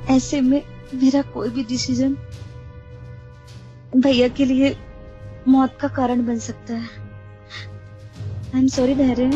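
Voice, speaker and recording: An actress playing a young woman who quietly and apologetically refuses a marriage proposal in a radio play. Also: high-pitched, lo-fi sound. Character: young woman torn by family duty, apologetic.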